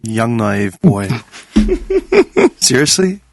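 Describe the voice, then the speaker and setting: Animated, teasing man in his thirties. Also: medium pitch, very slow, dry recording. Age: 30s. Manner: blunt, teasing, swears freely. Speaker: podcast host. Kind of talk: casual chat. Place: podcast studio.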